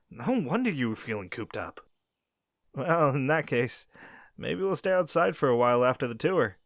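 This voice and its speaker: An actor playing a young male werewolf and caring pack beta, speaking gently and reassuringly straight to the listener in an audio roleplay. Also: medium pitch, no echo, studio quality.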